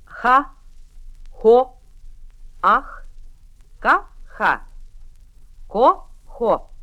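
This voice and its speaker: Very slow, sing-song female drill reader. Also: fairly high pitch, a bit bright. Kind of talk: slow, exaggerated pronunciation drills.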